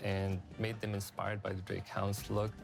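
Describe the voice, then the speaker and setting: A man's voice: lowish voice, little pitch movement, normal speed, vocal fry, music in the background. A man, a game developer, explaining design work in a behind-the-scenes interview.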